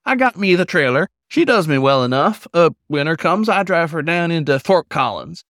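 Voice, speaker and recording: Man acting out old male wolf, storybook character, high-pitched, folksy drawl, cheerful, chatty. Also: animated, normal speed, a bit bright, dry recording, clean studio sound. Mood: friendly, folksy.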